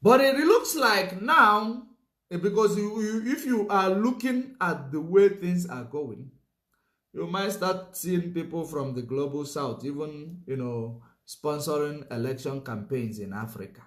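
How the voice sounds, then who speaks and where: A man's voice: a little high, lively intonation, measured pace, a bit bright, roomy sound. A man giving a political commentary monologue, emphatic, repeating his points and filling gaps with "you know".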